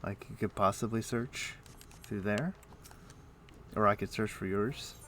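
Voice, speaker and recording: A man chatting casually on a game live stream, thinking aloud in a halting, muttering way as he plays. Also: lowish voice, a bit bright, slight background noise. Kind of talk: casual live-stream chat while playing an online game. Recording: faint music behind the voice. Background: faint music.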